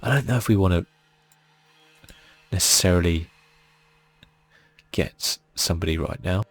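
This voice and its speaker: A man giving soft-spoken ASMR commentary on a video game in a murmured, laid-back way, as if thinking aloud. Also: low-pitched, measured pace. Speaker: gaming channel host. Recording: faint music behind.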